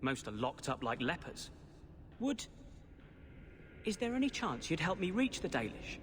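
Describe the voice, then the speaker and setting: A man's voice: a little high, sing-song, a little slow, a bit bright, big-room sound, slight background noise. A man playing an earnest young half-elf mage in video game dialogue. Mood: pleading.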